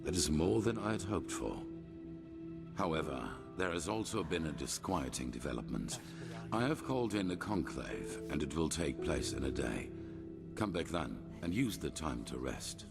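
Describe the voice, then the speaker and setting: A gravelly, very deep-voiced grandmaster. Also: male voice, big pitch swings, normal speed, exaggerated voice. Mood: grave, intent. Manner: formal, solemn, authoritative. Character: grave, commanding older grandmaster of a holy order. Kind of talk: cinematic fantasy game voice acting. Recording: long hall reverb, music behind.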